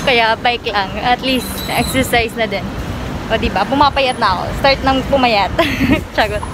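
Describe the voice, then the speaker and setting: A woman's voice: fairly high pitch, moderate pace, over a noisy crowd. A young woman vlogging on a bike ride, chatty, laughing often and out of breath, filmed on a phone at arm's length outdoors. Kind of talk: casual vlog chat. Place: outdoors on city streets and at a small cafeteria. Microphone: phone camera at arm's length. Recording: traffic noise outdoors.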